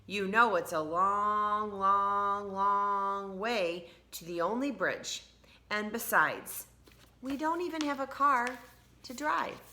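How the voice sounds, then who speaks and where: A woman's voice: mid-range voice, slow pace, sharp tone. A woman voicing a practical, doubtful mother from a storybook, explaining things emphatically. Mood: discouraging.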